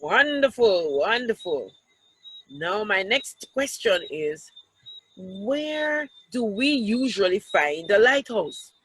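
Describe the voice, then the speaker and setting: A woman reads a picture book aloud to children in a deliberate way, pausing to point things out and ask questions. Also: medium pitch, sing-song, fairly bright tone, breathy edge, no room sound, low-quality recording. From Jamaica. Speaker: storytime reader, teacher.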